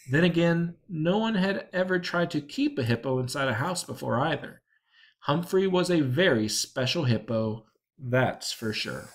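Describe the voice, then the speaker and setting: A narrator reading a children's picture book aloud, expressively and with emphasis on key words. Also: mid-range voice. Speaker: story reader.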